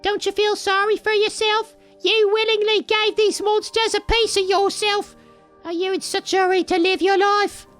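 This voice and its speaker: A man's voice: very high voice, little pitch movement, normal speed, a bit bright, soft background music, pitched above the performer's own voice. A man voicing a bitter, hostile old townsman who speaks with scorn. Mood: scornful. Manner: scornful, sneering.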